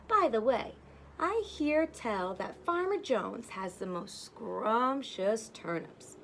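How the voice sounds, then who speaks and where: A woman's voice: fairly high pitch, lively intonation, slow pace, gravelly, a bit bright, slight background noise, exaggerated voice. A woman voicing a sly, blustering male wolf from a fairy tale, theatrical and coaxing, drawing out words. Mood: smooth, coaxing.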